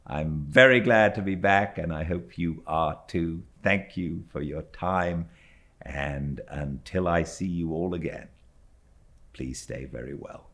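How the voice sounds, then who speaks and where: A British man working as an audiobook narrator, reading classic literature aloud with measured, theatrical phrasing. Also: deep voice, a bit bright. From Britain. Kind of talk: expressive literary storybook reading.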